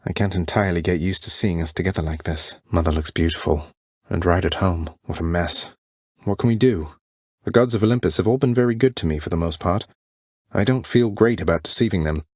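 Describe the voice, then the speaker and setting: Casting: rebellious young underworld prince for a fantasy game; deep register, dry close sound, polite and understated. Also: male voice, no room sound. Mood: gentle, warm. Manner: dry, understated, courteous, wry delivery. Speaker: video game voice actor. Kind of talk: scripted game character dialogue.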